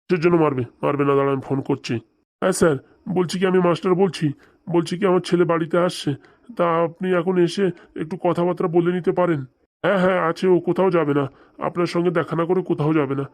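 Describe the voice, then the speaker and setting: A man's voice: a little high, steady tone, fast, darkish tone, no room sound, natural voice. A man playing a stern, hectoring father of a grown son in a comic cartoon. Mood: stern, exasperated, then deferential. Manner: hectoring, rhetorical questions, stern.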